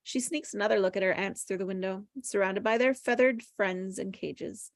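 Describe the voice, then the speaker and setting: A woman's voice: medium pitch, normal speed, no echo. Woman reading screenplay directions, dry sound. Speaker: stage-direction reader at a table read. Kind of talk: scripted narration of screen directions.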